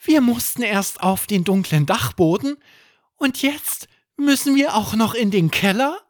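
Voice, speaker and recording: A man's voice: high-pitched, lively intonation, a little slow, dry recording, studio quality, pitched above the performer's own voice. A man voicing a small, curious, anxious boy who asks wide-eyed questions in a children's story. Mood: puzzled, anxious.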